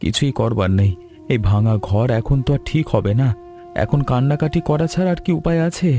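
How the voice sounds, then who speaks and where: An earnest, humble village weaver played by a man in a cartoon tale, mellow and plaintive. He is an anxious, deferential husband, with light music behind him. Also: mid-range voice, animated, a little fast, natural voice. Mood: despairing. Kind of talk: Bengali animated story dialogue.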